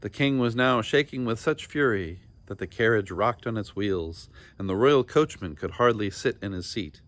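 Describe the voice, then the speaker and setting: A man narrating a children's storybook in an expressive, deliberate and dramatic storytelling style. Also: fairly low pitch. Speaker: storybook reader.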